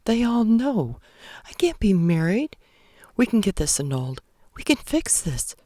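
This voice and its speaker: A man's voice: high voice, very animated, unhurried, a little mellow, no room sound, clean studio sound, pitched above the performer's own voice. A man voicing an anxious, agitated young bride in a romance novel. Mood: panicked. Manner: agitated, questioning.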